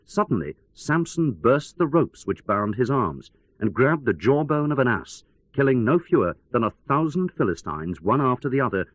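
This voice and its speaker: A man's voice: mid-range voice, no room sound, low-quality recording. A man playing a radio news correspondent, telling events as a dramatic, wry and emphatic report. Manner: wry, theatrical, emphatic storyteller.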